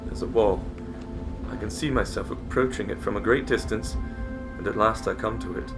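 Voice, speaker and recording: A man's voice: lowish voice, normal speed, natural voice. A man in a dramatic reading playing a troubled, dutiful polar expedition leader, earnest and theatrical. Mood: anguished. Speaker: stage actor. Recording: music clearly behind the voice. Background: music.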